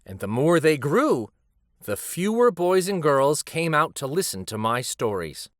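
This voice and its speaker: A man voicing a wistful, gentle old street storyteller from a children's picture book. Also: a little high, sing-song, unhurried, no echo, studio quality. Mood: nostalgic. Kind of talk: picture book read aloud.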